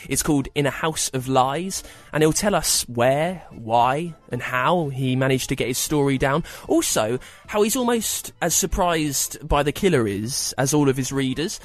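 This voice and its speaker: A man's voice: mid-range voice, expressive, normal speed, hardly any pauses. A man hosting a podcast, introducing and interviewing in a scripted presenter's style.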